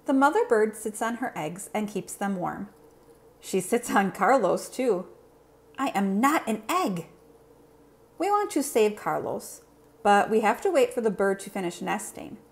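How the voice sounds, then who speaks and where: A woman reading a children's picture book aloud in an expressive, simple storytelling manner. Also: medium pitch, normal speed. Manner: clear, simple, expressive delivery for young children.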